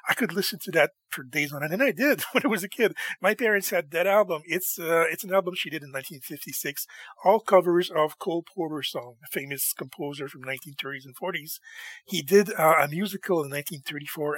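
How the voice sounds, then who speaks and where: Chatty, bright-voiced music show host. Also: male voice, fairly high pitch, no room sound. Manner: enthusiastic and chatty, with frequent laughs. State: slightly hoarse from losing his voice. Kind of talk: casual solo monologue introducing songs.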